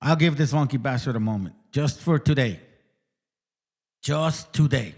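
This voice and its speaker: A man's voice: mid-range voice, measured pace, gravelly, a little mellow, slightly rough. A man on an online reaction stream, mid-range voice, cutting in with scorn, mockery and curses. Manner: scornful, profane, mocking. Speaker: commentator reacting to a played clip. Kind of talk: informal reaction commentary.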